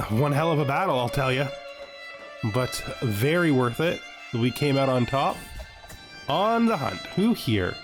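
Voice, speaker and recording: A man with a mid-pitched voice commentates a strategy game in a casual way. He thinks aloud and speaks wryly to the characters on screen. Soft music plays behind him. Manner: wry, talks to game characters. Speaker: gaming video host.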